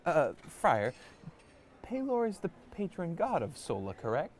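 A man's voice: fairly high pitch, wildly swooping pitch, a little slow, a little hiss or hum. A man playing an earnest, hesitant young wizard scholar explaining things in a comedic fantasy audio drama.

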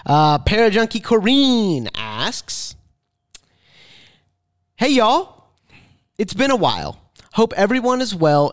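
A man co-hosting a casual podcast, reading listener messages aloud in a playful, expressive way. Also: fairly high pitch, no echo, studio quality. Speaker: podcast co-host.

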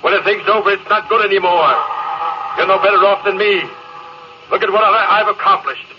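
A man's voice: high voice, steady tone, brisk, natural voice. An actor playing a proud, lonely male space captain and family man in a tense, theatrical old-time radio style. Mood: bitter, scornful. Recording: dull, hissy old radio recording.

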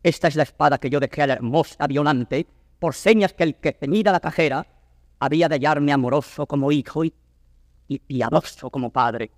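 Older nobleman played by a male actor, grave and tormented, classical verse declamation, slightly high voice in long reverb. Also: fairly quick, clean studio sound, natural voice. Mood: anguished astonishment. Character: anguished old nobleman torn between honour and fatherly love.

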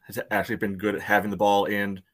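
A man's voice: on the low side, monotone, fast. A man on a casual sports podcast panel, speaking in a blunt, matter-of-fact way. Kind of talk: casual soccer discussion.

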